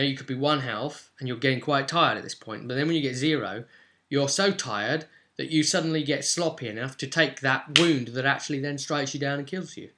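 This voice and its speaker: A man's voice: medium pitch, pushed and bright. A man speaking as a game master, casually recapping and explaining a tabletop roleplay session in a conversational way.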